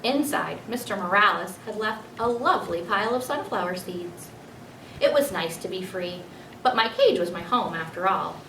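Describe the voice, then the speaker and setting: Storybook hamster narrator, played by a woman: clever, pleased with himself, expressive. Roomy, slightly noisy recording. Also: mid-range voice, moderate pace. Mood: playful, self-assured. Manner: expressive children's storybook reading. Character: clever, self-satisfied pet hamster narrating his adventures. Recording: roomy, distant mic, faint noise.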